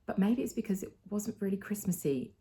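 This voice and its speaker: A woman's voice: medium pitch, animated, brisk, dark tone. A British woman chatting casually and enthusiastically as she hosts a book club review. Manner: enthusiastic, conversational.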